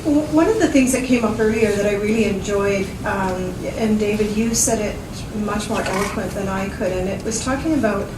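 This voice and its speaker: A woman with a loud voice speaks from the audience at a gallery panel, rambling as she builds a question. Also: mid-range voice, a little fast, hardly any pauses. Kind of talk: question from the floor. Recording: roomy, distant, noisy.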